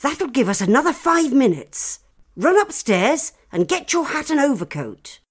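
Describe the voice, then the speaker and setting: A storyteller voicing a brisk, kindly, proper aunt in a children's storybook, with an exclamatory, old-fashioned manner. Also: sits high, very animated, pitched above the performer's own voice. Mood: brisk urgency.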